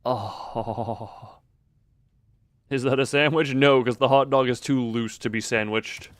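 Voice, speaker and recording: A man's voice: medium pitch, steady tone, takes it slowly, dry recording. Man streaming game commentary, very dry sound. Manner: rambling, jokey, exclamatory asides. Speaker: live streamer playing a horror game. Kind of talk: casual live gameplay commentary.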